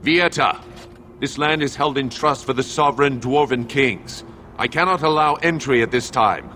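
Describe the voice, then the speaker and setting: A male actor as a stubborn dwarf guard in a fantasy game. His mid-pitched voice is blunt and official, over a faint noisy backdrop. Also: moderate pace, pushed and bright, lightly acted. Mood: firm, dismissive. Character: gruff, unyielding male dwarven gate guard. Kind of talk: video game voice acting.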